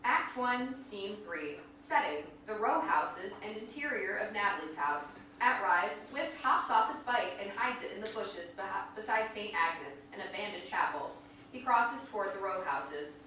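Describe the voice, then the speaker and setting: Bright-voiced woman reading stage directions, echoey. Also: mid-range voice, lively intonation, measured pace. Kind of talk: scripted narration of stage directions. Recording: distant, very echoey room, faint noise.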